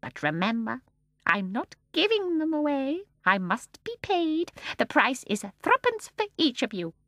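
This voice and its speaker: A shrill, squeaky old crone of a shopkeeper, tiny and ancient and ruling her daughters with a tyrant's temper. The voice leaps high and swings wildly, gleeful chatter giving way to soft, fierce menace. Dry, close sound with a breathy edge. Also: sing-song, measured pace, dry recording, heavily acted. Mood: shrill, excited.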